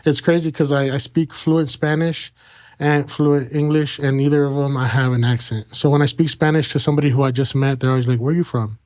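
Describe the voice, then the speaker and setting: Halting American man, clear, even voice. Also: medium pitch. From Kansas and Mexico. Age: 30s. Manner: halting, frequent "you know" pauses. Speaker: interview guest. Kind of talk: casual personal storytelling.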